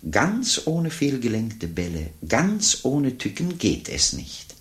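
An actor playing a wry narrator who recites a rhyming moral in the style of 1950s radio. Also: male voice, medium pitch, huge pitch swings, slow pace. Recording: old radio recording with music.

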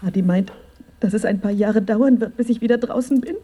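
An actress in a German radio drama playing a scheming jailed wife who pleads softly and tenderly as a fragile expectant mother. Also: medium pitch, a little fast, close to an everyday voice. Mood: tender, wistful. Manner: soft, breathy, half-whispered pleading.

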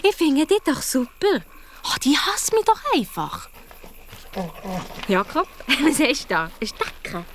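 A woman's voice: high-pitched, measured pace, pauses often, a little hiss or hum, heavily acted. A woman playing a chatty, cheeky young girl detective in a children's radio play, with a Swiss German accent. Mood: playful. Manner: lively, colloquial, expressive.

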